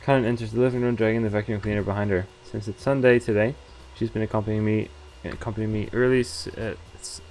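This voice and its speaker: A man's voice: fairly low pitch, level tone, fast, few pauses, slight background noise, rough recording. A male streamer reading a visual novel aloud, breaking off now and then with offhand comments. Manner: reads text aloud, breaking off with offhand asides; loud, exaggerated yelps when voicing pained lines.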